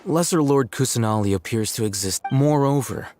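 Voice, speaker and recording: A man voicing a calm, aloof scholar who speaks in a measured, detached way in game dialogue. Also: medium pitch, lightly acted. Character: calm, aloof male scholar, dry and analytical. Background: game music.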